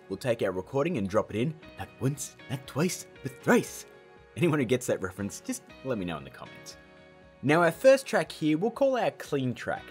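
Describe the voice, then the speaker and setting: Animated man narrating a tutorial over music. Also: mid-range voice. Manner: chatty, informal asides. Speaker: tutorial host. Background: background music.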